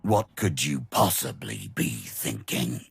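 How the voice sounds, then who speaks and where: An actor playing an ancient, evil emperor spirit in a video game, scornful and theatrical, with a gravelly rasp. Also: male voice, extremely low pitch, big pitch swings, no room sound, full character voice. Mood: contemptuous. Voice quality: gravelly, raspy. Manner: slow, theatrical, contemptuous. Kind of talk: video game villain acting.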